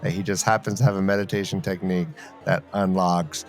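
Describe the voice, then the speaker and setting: A man's voice: sits low, little pitch movement, fairly quick, faint music behind. A man co-hosting a podcast, chatting casually and debating in an emphatic, playfully argumentative way. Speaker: podcast co-host. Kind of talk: animated fan debate, casual chat.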